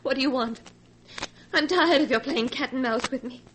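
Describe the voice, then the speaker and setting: An actress playing a frightened, secretive wife in a 1940s radio melodrama, tremulous and pleading, on a hissy old recording. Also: female voice, high voice, moderate pace, natural voice. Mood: defiant, desperate.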